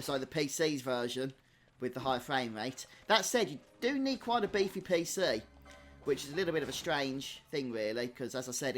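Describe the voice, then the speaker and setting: A man's voice: medium pitch, a bit bright. A man giving casual, chatty live commentary as he streams a video game, thinking aloud as he goes.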